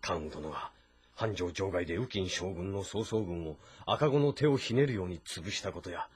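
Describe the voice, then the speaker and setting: A man's voice: low-pitched, lively intonation, normal speed, breathy edge, heavily acted. A man playing a thoughtful, weary military strategist who speaks politely and reflectively in a historical drama. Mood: pensive.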